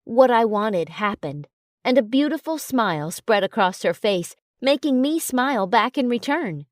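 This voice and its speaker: A woman narrating an audiobook in a calm, even storytelling manner. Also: fairly high pitch, measured pace, no echo, studio quality. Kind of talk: audiobook narration of a romance novel.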